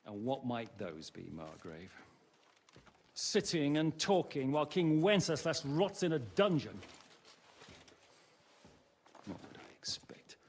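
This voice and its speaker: Defiant, animated mercenary captain. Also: male voice, medium pitch, takes it slowly, pauses often, a little hiss or hum. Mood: scornful, accusing. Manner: sardonic, challenging. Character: blunt, defiant mercenary captain, scornful and bold. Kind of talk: dramatic video game acting.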